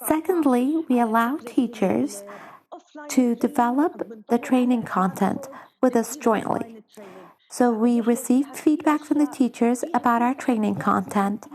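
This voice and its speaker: A woman answering interview questions in a measured, formal way, as if from prepared answers. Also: fairly high pitch, a little mellow. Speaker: guest, social entrepreneur.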